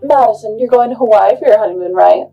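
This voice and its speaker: A woman's voice: a little high, fast, creaky, muffled recording, soft background music. A woman voicing a pushy, sweetly insistent middle-aged mother-in-law who talks in a breezy, overbearing way.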